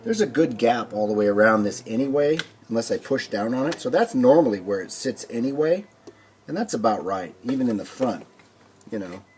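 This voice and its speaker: A man hosting a hands-on repair video, explaining things informally and thinking aloud with hesitant pauses. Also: medium pitch, animated, darkish tone, slight background noise. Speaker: host of a record player restoration video. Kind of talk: casual hands-on demonstration.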